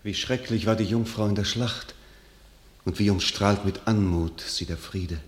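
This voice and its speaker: A man's voice: fairly low pitch, a little slow, echoey room, lightly acted. An actor playing a proud, passionate nobleman and warrior in a classical verse radio drama, declaiming with fervour. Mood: softened, solemn. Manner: declamatory, fervent.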